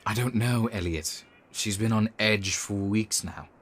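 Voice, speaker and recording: An actor playing a steady, concerned and slightly dry male companion in an audio drama. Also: fairly low pitch, a little slow, natural voice. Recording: clear background noise with clicks.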